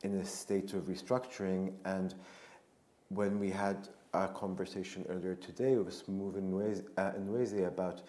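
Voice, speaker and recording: Deep, halting man in an echoey room. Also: level tone, mellow. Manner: halting, deliberate, with repeated words. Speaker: panel speaker. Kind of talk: reflective panel discussion. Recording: echoey room with faint noise.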